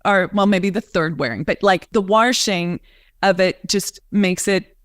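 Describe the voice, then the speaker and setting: A woman's voice: medium pitch, unhurried, no echo, studio quality. A woman hosting a conversational interview as a coach, chatty and full of fillers as she asks her questions. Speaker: host and coach.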